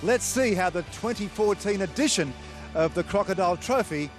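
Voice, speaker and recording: A man's voice: high-pitched, animated, moderate pace. Upbeat male sports commentator over music. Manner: upbeat, scripted delivery. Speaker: race commentator. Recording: music bed under voice.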